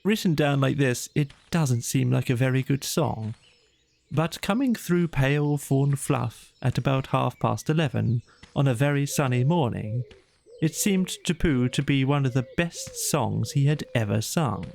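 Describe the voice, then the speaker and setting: A man narrating a children's bedtime story in a slow, soothing way. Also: mid-range voice, lively intonation, gravelly. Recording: soft music behind.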